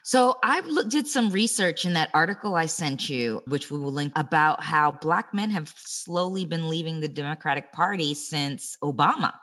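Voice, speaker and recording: A woman with a notably low voice co-hosts a casual podcast. She speaks in a measured, thoughtful way as she weighs each point. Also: expressive, fairly bright tone. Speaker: podcast co-host. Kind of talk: casual political chat.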